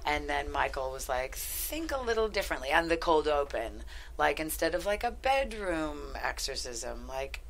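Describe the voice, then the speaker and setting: An American woman in middle age chatting casually as a guest writer, in an animated, self-deprecating manner. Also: sits low, normal speed. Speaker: guest screenwriter and actress. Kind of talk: casual interview chat. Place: studio couch on a podcast set. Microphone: headset.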